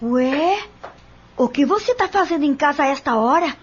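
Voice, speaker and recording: A woman's voice: high-pitched, expressive, moderate pace. A woman playing a labourer's puzzled, questioning wife in an audio soap opera, in colloquial, surprised speech. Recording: dull lo-fi audio, some noise.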